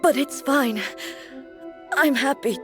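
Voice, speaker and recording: A woman playing a weakened but resolute warrior deity in a video game, speaking in a grave, formal, halting way. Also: high-pitched. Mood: grave, resolved. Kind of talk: video game character acting. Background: prominent music.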